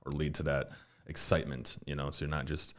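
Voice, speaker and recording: A man in his thirties with a deep, projecting voice, chatting as a guest on a podcast and joking along. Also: little pitch movement, fairly bright tone. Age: 30s. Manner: upbeat, quick to joke and laugh along. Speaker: financial advisor guest. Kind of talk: casual podcast conversation.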